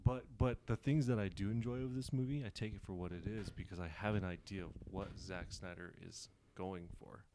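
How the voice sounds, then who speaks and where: A young man co-hosting a podcast, pushing back quickly and repeating himself as he quizzes the others. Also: low-pitched, warm tone, a little hiss or hum. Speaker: podcast co-host. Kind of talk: casual chat.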